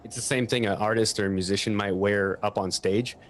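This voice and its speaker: A man's voice: fairly low pitch, fairly even intonation, a little fast, slight background noise. A man giving an informal technical explanation as an audio equipment expert, speaking into a stationary microphone at a desk. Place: at a desk in a room.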